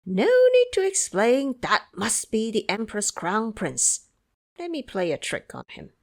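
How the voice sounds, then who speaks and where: Female narrator as mischievous monkey hero, confident, declamatory, animated pitch, audio drama storytelling. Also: a little slow. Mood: gleeful, scheming. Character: bold, mischievous male monkey trickster hero of legend. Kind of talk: audio drama character reading.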